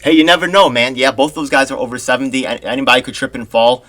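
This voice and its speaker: A man's voice: medium pitch, steady tone, fast talker, bright, forceful tone. A man from Korea hosting a casual interview, chatty and loose, often laughing and acting out quoted voices. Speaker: podcast host.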